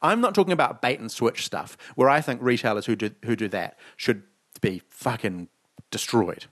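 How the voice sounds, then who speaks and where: A man's voice: mid-range voice, normal speed. Opinionated New man, sarcastic. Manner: sarcastic, opinionated, swears freely. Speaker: podcast co-host. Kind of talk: casual chat, reading news stories aloud.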